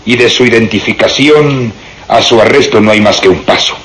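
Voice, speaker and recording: Un actor interpretando a un inspector de policía insistente y triunfal, de hablar declamatorio, en una radionovela. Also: male voice, on the low side, normal speed, fairly bright tone, close to an everyday voice, low-quality recording. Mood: confident, triumphant. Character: insistent, triumphant male police inspector, sure of himself. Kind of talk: radio drama acting.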